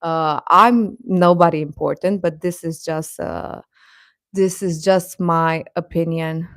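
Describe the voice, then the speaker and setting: A woman hosting a casual, opinionated live chat, speaking haltingly and defensively with repeated words. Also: fairly low pitch, steady tone, no room sound, studio quality. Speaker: livestream host and commentator.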